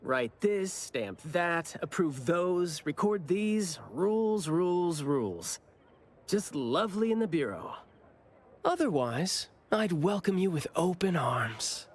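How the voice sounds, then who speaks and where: Anime game officer, playful, teasing, drawn-out theatrical phrasing, lively swinging intonation. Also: mid-range voice. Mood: playful, breezy.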